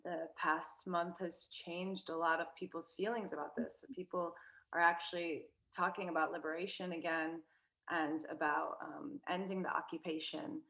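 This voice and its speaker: A woman's voice: fairly low pitch, little pitch movement, normal speed, fairly bright tone, rough recording. A young woman, a guest in a casual interview, talking hesitantly with many fillers and restarts. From United States.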